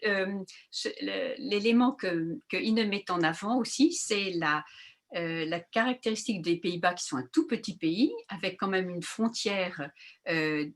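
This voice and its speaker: A woman leads an online group discussion at a middle pitch. She searches for her words as she goes, full of little hesitations and fillers. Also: unhurried. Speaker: moderator.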